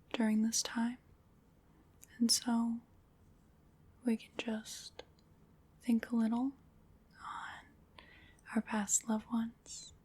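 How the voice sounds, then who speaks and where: A woman playing a gentle, solemn witch in an ASMR roleplay, whispering in a breathy, soothing, ceremonial way. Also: on the high side. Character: gentle, solemn witch leading a Samhain ritual.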